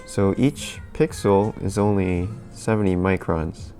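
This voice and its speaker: A man's voice: low-pitched, slow pace, dark tone, soft background music. A man hosting a live stream, chatting casually and rambling as he answers questions from chat, a little sniffly. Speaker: livestream host.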